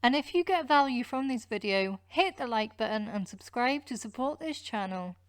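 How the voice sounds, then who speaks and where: A woman's voice: on the high side, expressive, normal speed, slight background noise. A woman's voice reading a short scripted request to like and subscribe. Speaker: subscribe prompt voice-over. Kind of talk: scripted call to action.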